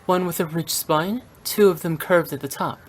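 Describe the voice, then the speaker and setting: A woman playing a composed young funeral director in a video game, speaking in a measured, precise and courteous professional manner. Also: on the low side, lightly acted. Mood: businesslike. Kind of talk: English video game voice acting.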